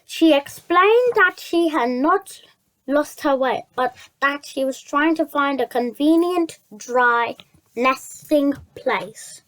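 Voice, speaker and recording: A high-voiced reader reading a children's story aloud, stumbling over words and repeating phrases. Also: slow, a bit bright, low-quality recording.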